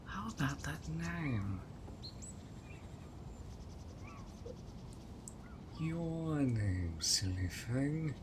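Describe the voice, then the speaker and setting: A man playing a possessive fairy host, slow and gravelly. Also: medium pitch, noisy background. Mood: coaxing. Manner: coaxing and doting, turning controlling. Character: handsome obsessive male fairy host, sweetly possessive. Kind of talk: audio roleplay.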